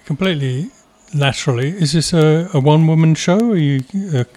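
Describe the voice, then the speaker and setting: A man's voice: fairly high pitch, fairly quick, darkish tone. A man interviewing a guest, putting brief questions to her and cutting in with short interjections. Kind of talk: conversational interview.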